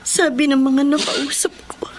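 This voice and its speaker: A woman's voice: sits high, steady tone, normal speed, noisy background, lightly acted. An actress playing a despairing, pleading Filipino mother in a drama, crying as she speaks. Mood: tearful, pleading. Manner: tearful, pleading. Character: despairing imprisoned young mother, pleading wife.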